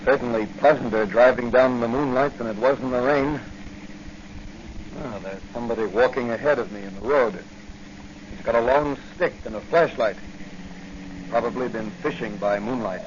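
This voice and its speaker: An actor playing a confident, brisk patrolman and secret masked crime-fighter in a 1940s radio drama, speaking with an inquisitive air. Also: mid-range voice, lightly acted. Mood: easygoing, curious. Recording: dull, noisy 1940 radio recording.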